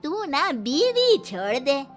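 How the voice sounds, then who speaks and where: A woman's voice: high-pitched, very animated, slow, heavily acted. An actress playing a scheming, bitter old grandmother-in-law in a moral story cartoon, taunting and bossy. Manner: taunting, drawling, meddling. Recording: background music.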